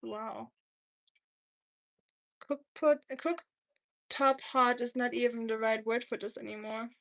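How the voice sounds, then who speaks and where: A woman's voice: on the high side, fairly even intonation, unhurried, a bit bright. A woman from Germany with a German accent, chatting casually while cooking on a livestream and answering viewer comments.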